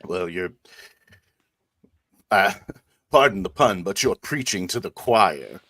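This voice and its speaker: A man's voice: sits low, animated, takes it slowly, a bit bright, no echo. A man improvising as a devout, genteel inquisitor priest in a tabletop roleplaying game, with a hesitant, insinuating manner. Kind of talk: improvised in-character roleplay and narration.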